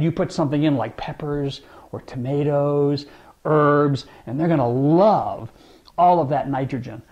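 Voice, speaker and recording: A man in his forties talking to camera about gardening from a dining room at home, upbeat and animated, gesturing as he talks. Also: on the high side, muffled recording. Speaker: gardening vlogger. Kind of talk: casual talk to camera.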